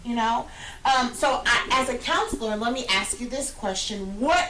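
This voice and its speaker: A woman's voice: a little high, expressive, moderate pace, a crowd in the background, rough recording. A middle-aged woman hosting a radio talk show, speaking earnestly and with emphasis in a small studio. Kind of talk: casual talk show chat. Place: small studio lounge, indoors.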